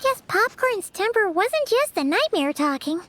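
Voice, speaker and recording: Super squeaky little sprite, bouncy and chirpy, music behind. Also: female voice, very animated, measured pace, a bit bright, exaggerated voice. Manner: chirpy, speaks of herself by name. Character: tiny excitable floating female companion sprite. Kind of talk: video game voice acting.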